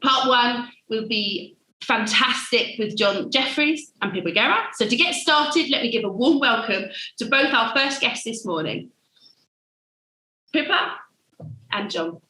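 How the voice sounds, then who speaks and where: A woman's voice: on the high side, lively intonation, a little slow, pushed and bright, roomy sound, slight background noise, poor audio. A woman hosting a panel webinar, introducing guests with upbeat enthusiasm. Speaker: webinar host.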